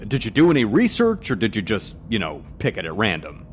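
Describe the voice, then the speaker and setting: A man acts out a chatty cab driver who is really an agent bluffing his way along. His pitch is very animated and he hesitates as he stalls, in a comic audio drama with faint noise behind him. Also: a little fast. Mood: stalling, nervous. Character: undercover agent posing as chatty, flustered male cab driver. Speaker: voice actor. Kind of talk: comedic audio drama acting.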